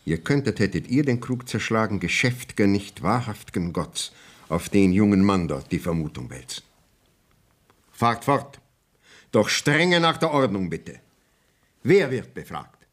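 Stern, animated court inspector. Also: male voice, fairly low pitch, unhurried. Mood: stern reproach. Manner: formal, clipped, commanding. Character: stern, dignified court inspector, exasperated and correct. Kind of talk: classical radio play acting.

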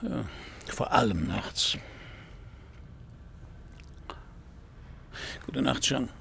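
An actor playing a hard-boiled, wary private detective in a German radio crime drama, terse and deadpan in narration and dialogue. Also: male voice, mid-range voice, unhurried, creaky, slight background noise, full character voice. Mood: guarded.

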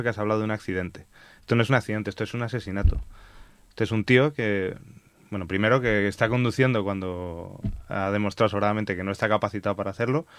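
Madrid guy on the radio, rattles along fast and blunt, dry close mic. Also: on the low side, fairly bright tone, clear, resonant tone, no echo. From Madrid, Spain. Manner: fast, blunt and emphatic, speaks his mind. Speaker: guest, cycling advocate. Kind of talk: radio talk show conversation.

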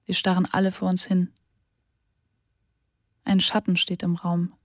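Female diary reader, measured and sober, dead-dry booth. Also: fairly low pitch, completely flat delivery, no echo. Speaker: narrator reading a diary. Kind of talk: literary diary reading for radio.

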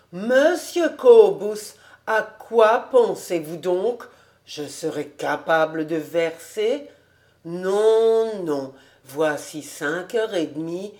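A woman plays an old country farmer in a storybook, low in pitch and very unhurried. He is tipsy, pious and content, and speaks in deliberate exclamations. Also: a little mellow. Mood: alarmed. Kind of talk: audiobook storytelling.

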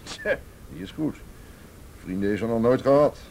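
A man's voice: mid-range voice, expressive, normal speed, creaky, darkish tone, slight background noise, poor audio. An actor in a radio play voicing a defensive businessman, indignant and evasive, stammering under pressure. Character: defensive, blustering businessman, a stepfather accused by police. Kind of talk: naturalistic radio play acting.

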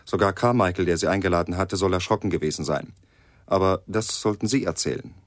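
An actor playing a brisk, factual jazz chronicler narrating in a radio play. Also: male voice, low voice, dry recording, studio quality, natural voice.

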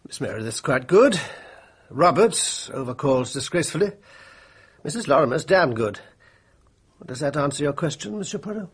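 An actor playing a dry, upper-class British army major in a radio drama, speaking in a blunt, clipped and disdainful way. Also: male voice, fairly high pitch, big pitch swings, moderate pace, breathy edge, heavily acted.

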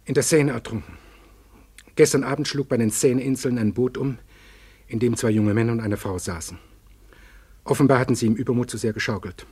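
An actor playing a sober family acquaintance with a husky voice in a German radio play. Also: male voice, medium pitch, a little fast, pauses often, darkish tone, lightly acted. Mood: grave reading. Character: sober family acquaintance gravely reporting bad news.

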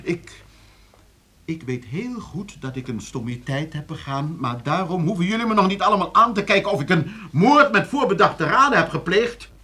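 A man's voice: high-pitched, expressive, normal speed, pushed and bright. A man playing an idle young student under suspicion in a Dutch radio play, hesitant, defensive and self-justifying. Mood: indignant, defensive.

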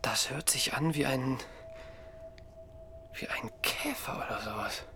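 A man's voice: medium pitch, takes it slowly, sharp tone, whispered, full character voice. An actor playing a stressed, agitated young father in a naturalistic radio drama, talking bluntly. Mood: hushed, obsessive. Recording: clear background noise.

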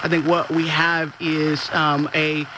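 A man with a slightly high voice who answers questions in a measured, halting way, full of fillers and restarts. He sounds like he is out in the street, with steady background noise around him. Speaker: campaign senior advisor. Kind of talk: on-the-street interview.

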